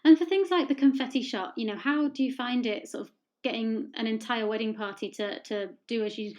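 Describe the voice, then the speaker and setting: A woman's voice: a little high, a little fast, a little mellow. A woman with an English accent hosting a casual podcast interview, encouraging her guests with frequent agreement. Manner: encouraging, frequent backchannel yeahs. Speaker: podcast host.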